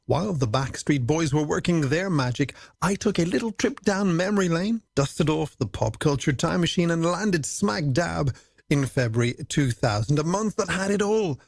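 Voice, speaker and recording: Creaky-voiced male late-night radio host. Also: on the high side, animated, normal speed, no room sound, studio quality. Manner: playful, wordy patter addressed to the listener. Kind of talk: scripted, chatty late-night radio presenting. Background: music.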